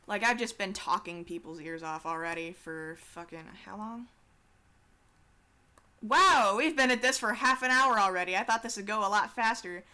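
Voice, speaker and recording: A woman's voice: medium pitch, normal speed, sharp tone. A teenage girl chatting casually while she draws, rambling and swearing with self-deprecating asides. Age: teens. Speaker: artist streaming a drawing session.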